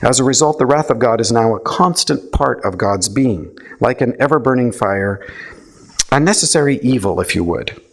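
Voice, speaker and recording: A man reading a story aloud and voicing ego, a theatrical, scheming male spirit who flatters and stalls. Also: medium pitch, normal speed. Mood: sly. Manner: oily, stalling, wheedling.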